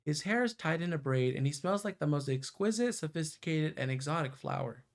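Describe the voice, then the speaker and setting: A man's voice: a little high, lively intonation, fairly quick. A man streaming a visual novel, reading its narration aloud and adding casual asides.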